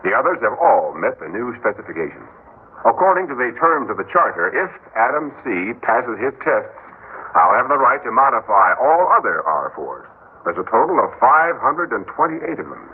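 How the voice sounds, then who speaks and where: An actor playing a persuasive, smooth-talking male head of a robot company in an old radio drama. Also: mid-range voice, big pitch swings, moderate pace. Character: suave middle-aged male robot-company chief. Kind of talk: 1960s radio drama acting. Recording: tinny old radio broadcast with hiss.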